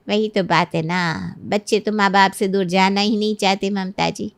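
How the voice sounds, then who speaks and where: A woman's voice: medium pitch, steady tone, moderate pace, no echo, close to an everyday voice. A woman voicing a scheming middle-aged mother of a bride in a cartoon, nagging and lecturing persuasively. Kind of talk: Hindi cartoon story acting.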